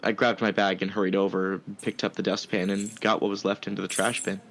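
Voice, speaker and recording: Man as low-voiced earnest art student narrator. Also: flat delivery, fairly quick, a bit bright, a little hiss or hum. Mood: tender storytelling. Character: sympathetic, smitten young male art student narrator. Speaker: narrator and actor in audio fiction. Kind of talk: first-person story narration and dialogue.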